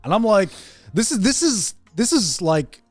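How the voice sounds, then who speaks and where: A man co-hosting a casual gaming podcast, arguing in an animated way and repeating phrases as he pushes his point. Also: high-pitched, measured pace, no echo, soft background music. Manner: animated, argumentative, repeats phrases to hold the floor. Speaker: podcast co-host. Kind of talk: casual podcast debate about video games.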